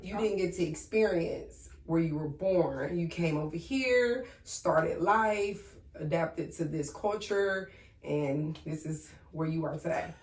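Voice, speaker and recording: A woman's voice: fairly low pitch, takes it slowly, far from the mic. A woman hosting a talk show interview, chatty, playful and slangy. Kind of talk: casual interview. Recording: echoey room, off-mic.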